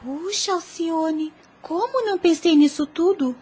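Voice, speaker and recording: Very high-pitched little Brazilian girl. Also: measured pace. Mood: delighted. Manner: animated, childlike, earnest. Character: earnest, caring little rich girl, a loving daughter. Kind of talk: audio drama acting.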